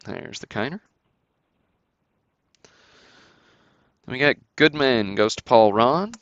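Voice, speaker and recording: A man's voice: medium pitch, expressive, takes it slowly, a bit bright, no room sound, dull audio. A man hosting a sports card break livestream, casually calling out cards and buyers and chatting to viewers in an easygoing way.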